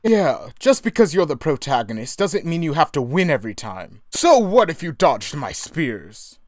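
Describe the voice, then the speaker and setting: A man's voice: on the high side, very animated, normal speed, no room sound, studio quality. A man playing a petulant, pent-up sorcerer villain in a dramatic, exclamatory manner. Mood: indignant.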